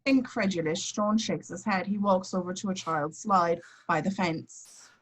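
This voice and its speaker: A woman's voice: fairly low pitch, a little slow, slight background noise. A woman reading stage directions as scripted narration at an amateur table read.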